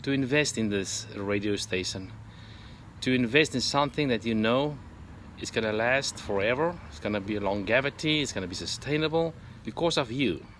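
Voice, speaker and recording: A man speaks with warm, urging enthusiasm at a middle pitch. Faint background noise sits under his voice. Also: expressive, normal speed. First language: Afrikaans. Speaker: radio listener giving a testimonial. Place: home in Arizona.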